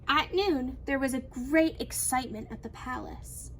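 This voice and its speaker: A woman reading a children's storybook aloud in an expressive, animated storytelling manner. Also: high-pitched, measured pace, slight background noise. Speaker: teacher reading a picture book aloud.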